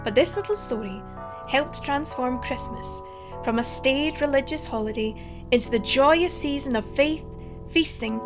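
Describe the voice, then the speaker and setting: High-voiced woman announcer over brass music. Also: a little slow. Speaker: announcer introducing a radio play. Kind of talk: scripted narration. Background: loud brass music.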